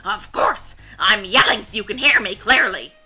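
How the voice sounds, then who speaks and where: A woman voicing a scowling old grandmother who yells and nags in exasperation during a game read-aloud. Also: mid-range voice, big pitch swings, moderate pace, husky, faint music behind, full character voice. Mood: furious scolding. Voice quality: very loud, yelling. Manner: exasperated, nagging, snorting.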